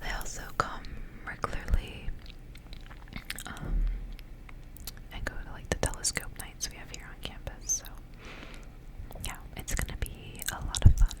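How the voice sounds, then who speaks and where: A young woman speaks in a breathy, unvoiced whisper. Her delivery is soft and informal, in the manner of an ASMR creator chatting casually to the listener. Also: a little slow, pauses often, low-quality recording. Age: young adult. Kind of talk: ASMR intro and casual life update.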